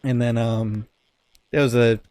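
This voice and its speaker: A man's voice: medium pitch, fairly even intonation, a little slow, a little mellow, no echo. A man co-hosting a podcast, giving a casual, exasperated and profanity-laced movie review. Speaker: podcast co-host.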